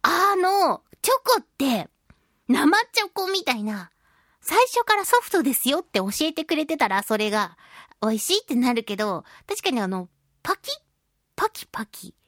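Female radio host, higher voice, expressive and chatty, casual talk-show delivery, very dry studio-style sound. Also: dry recording. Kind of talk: casual chat, reading and answering listener mail.

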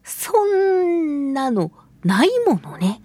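A woman's voice: sits high, sing-song, very slow, mellow, no room sound. A woman hosting a casual solo radio talk, chatting in a playful manner. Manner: playful, chatty, laughs often. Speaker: radio show host and voice actress.

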